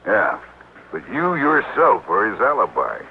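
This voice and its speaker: A gruff American police lieutenant, low and skeptical, with lively swings in intonation. It sounds like a narrow-band old radio drama. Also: male voice, measured pace, exaggerated voice. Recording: tinny old radio with faint hiss.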